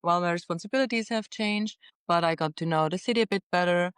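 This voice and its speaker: Young Austrian woman, smooth and dry. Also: lowish voice, fast talker, dry recording. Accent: Austrian German. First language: Austrian German. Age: young adult. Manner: reflective, frequent "like" and soft laughs. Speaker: podcast guest. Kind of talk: casual interview chat. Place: apartment on a high floor.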